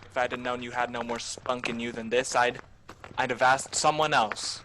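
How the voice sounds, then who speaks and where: A man's voice: medium pitch, fairly even intonation, moderate pace, bright, forceful tone, slight background noise, close to an everyday voice. Man playing a greedy, scheming butler. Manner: contemptuous, pushy. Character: scheming, greedy male butler, bitter and bold. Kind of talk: amateur radio play acting.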